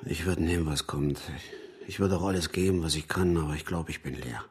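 An actor playing a desperate, guilt-ridden working-class father in a naturalistic German radio drama, halting and repeating himself as he pleads. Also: medium pitch, close to an everyday voice. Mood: resigned, empty.

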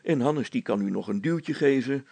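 Guy as a calm handy adventurer type, husky and creaky, matter-of-fact, coaxing along. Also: medium pitch, normal speed, no echo. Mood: confident, coaxing. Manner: matter-of-fact, gently teasing, encouraging.

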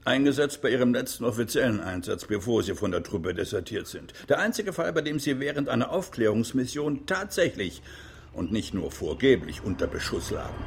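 Husky, animated male interrogator. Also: medium pitch, brisk, heavily acted. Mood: insistent. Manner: pressing, formal, incredulous. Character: insistent, sceptical interrogator at a military hearing. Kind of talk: radio drama courtroom questioning.

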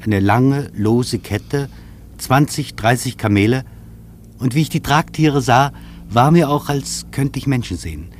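Male traveller played by a man, radio drama travelogue, medium pitch, reflective, measured, faintly noisy vintage recording. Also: animated, natural voice. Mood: absorbed, wondering. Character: reflective male air traveller observing from a plane. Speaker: travelling narrator. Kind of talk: scripted radio play travelogue narration.

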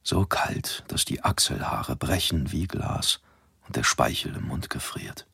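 An actor in a radio drama playing a formal, self-important expedition ship's captain reading out his logbook in measured diction. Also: rumbling low, animated, vocal fry, bright, forceful tone, breathy edge, dry recording, clean studio sound, heavily acted. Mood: grim, hushed.

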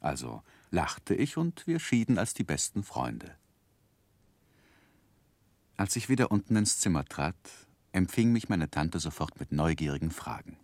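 A thoughtful narrator looking back on boyhood in a 1960s literary radio play. His low voice is articulate and measured, yet varied in melody. The sound is close and dry. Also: very animated, darkish tone, no echo, heavily acted. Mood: fond, reflective. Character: grown man gently recounting his own boyhood, thoughtful. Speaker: first-person narrator.

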